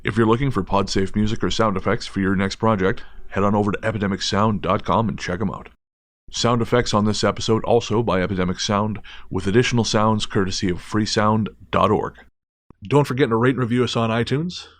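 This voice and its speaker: Relaxed low-voiced man hosting a podcast, dry sound. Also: a little fast, no room sound. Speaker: podcast co-host. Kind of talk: casual podcast chat.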